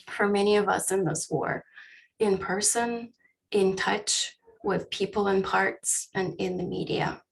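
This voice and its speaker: List: woman, creaky voice, slow careful reading, webinar call. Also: medium pitch, rough recording. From Ukraine. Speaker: therapist and webinar panelist. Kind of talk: reading a prepared personal statement. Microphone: video call.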